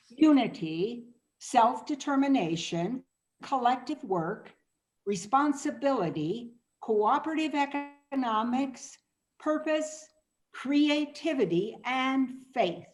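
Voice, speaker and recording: Actress as informative female friend, fairly high voice, lively melody, slow deliberate delivery, amateur radio theatre. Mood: pondering. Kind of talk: amateur radio-play reading.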